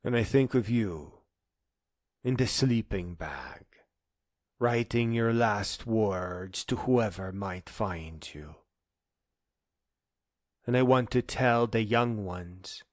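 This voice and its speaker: A man delivering a dramatic spoken-word monologue in an earnest, emphatic manner that moves between hushed and insistent phrases. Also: medium pitch, smooth, no room sound, studio quality.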